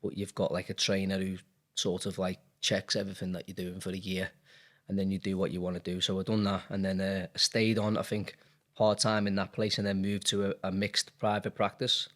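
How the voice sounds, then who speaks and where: Low-voiced Liverpool man, chatty guest. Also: level tone, fairly quick, fairly bright tone. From Liverpool, England. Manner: candid, rambling, many fillers. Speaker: dentist and business owner. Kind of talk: casual interview chat.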